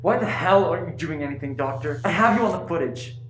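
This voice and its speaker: A woman's voice: fairly low pitch, expressive, fast, lightly acted. A teenage girl playing a defiant, scornful spaceship crew member in a radio play. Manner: confrontational, scornful. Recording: echoey room.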